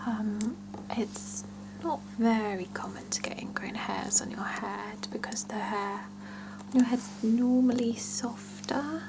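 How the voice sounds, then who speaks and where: A woman doing an ASMR salon roleplay, talking gently and reassuringly to a client in a breathy whisper mixed with soft voicing. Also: a little high, fairly even intonation, background noise. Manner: slow, gentle, reassuring, with murmured pauses. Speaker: ASMR roleplayer playing a salon scalp-check attendant. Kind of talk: ASMR roleplay, one-sided chat with a client.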